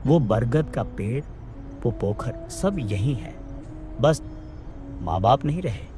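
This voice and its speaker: A man playing a wistful son back in his village home, speaking slowly and softly in a dramatized story. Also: medium pitch, expressive. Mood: wistful, hushed. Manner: slow, hushed, sentimental. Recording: music behind the voice.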